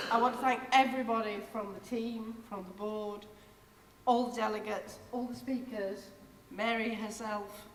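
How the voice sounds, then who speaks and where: A woman giving an effusive, grateful closing speech as outgoing chair, her voice going hoarse. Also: mid-range voice, a little hiss or hum. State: losing her voice. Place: large conference hall. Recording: distant mic in a reverberant hall.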